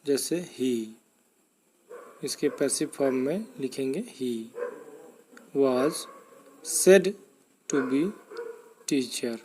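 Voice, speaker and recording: A man teaching English grammar in a deliberate, step-by-step, instructive manner, as in a scripted lesson. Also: mid-range voice, mellow, slight background noise, low-quality recording.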